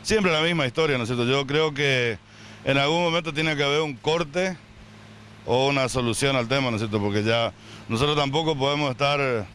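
A man's voice: mid-range voice, fairly quick, fairly bright tone, a little hiss or hum. An older man, a volunteer fire chief, interviewed outdoors and speaking in a rambling way with tag questions and restarts. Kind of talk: on-the-spot news interview. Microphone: handheld reporter mic.

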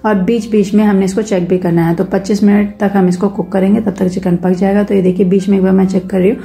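A woman narrates her home cooking video step by step, switching easily between plain talk and English kitchen words. Her voice is mellow and keeps to a narrow, even melody. Light music runs faintly underneath. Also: medium pitch, fast talker, vocal fry. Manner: step-by-step instructive, mixing English cooking terms into Hindi. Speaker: cooking channel host. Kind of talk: casual recipe voice-over.

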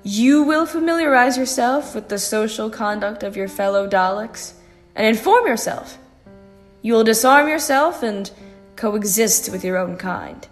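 A woman's voice: fairly high pitch, expressive, a little slow, faint music behind. A woman playing a bossy, sardonic character who gives commands theatrically in an audio drama. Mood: imperious. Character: bossy, sardonic woman ordering a pet Dalek around.

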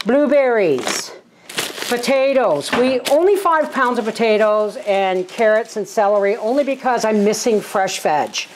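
A woman's voice: a little high, darkish tone. An older woman, giving a casual, plain-spoken, folksy vlog narration in her home kitchen. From Canada. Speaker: homesteading vlogger and host.

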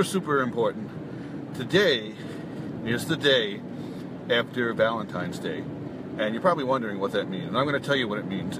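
Chatty New York man in a car. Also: medium pitch. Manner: chatty, emphatic, self-deprecating asides. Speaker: fitness coach. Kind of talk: casual selfie video pep talk. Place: parked car interior. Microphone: phone held close, front camera. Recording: car with clear road noise.

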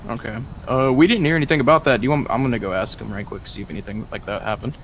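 A man's voice: medium pitch, very fast, close to an everyday voice. A man playing a casual, argumentative police officer in an online role-play game, insistent and repeating himself. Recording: gaming voice chat with background noise.

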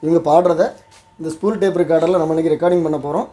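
A man's voice: fairly high pitch, level tone, rapid-fire, dark tone. A man with an Indian Tamil accent hosting and explaining in a vlog style. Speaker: host.

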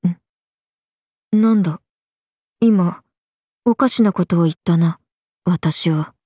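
A woman voicing an earnest, airheaded, stoic young swordswoman in an anime game, speaking in a plain deadpan way. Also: fairly low pitch, slow pace, slightly rough, no room sound, clean studio sound. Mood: wistful. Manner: deadpan, plain, slightly blunt. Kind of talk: anime game character voice acting.

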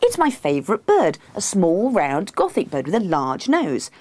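A woman's voice: fairly low pitch, huge pitch swings, moderate pace, darkish tone. A woman voicing a needy, insistent wife, pleading and indignant, in a comic book reading. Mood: coaxing.

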